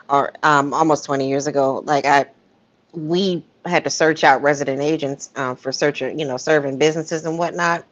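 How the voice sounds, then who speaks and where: A woman giving opinionated, emphatic gossip commentary in a casual livestream chat. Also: very deep voice. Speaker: co-host of a livestream. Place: livestream call. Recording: muffled lo-fi livestream audio.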